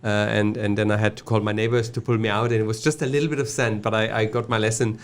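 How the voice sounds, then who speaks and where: A man's voice: lowish voice, fairly even intonation, clean tone. A man in his fifties from Germany with a German accent, a podcast guest talking casually, often restarting his sentences. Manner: halting, frequent restarts and fillers. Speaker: podcast guest, bike shop owner. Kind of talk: casual interview chat.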